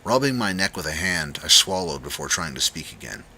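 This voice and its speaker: Very deep, forceful male story narrator. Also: sing-song, fairly quick, husky, rough recording. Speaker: audiobook narrator. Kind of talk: dramatic scripted first-person story narration.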